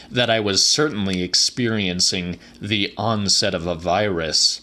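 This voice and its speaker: A man narrating a literary audiobook in a measured, deliberate, dramatic style. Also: deep voice, pushed and bright.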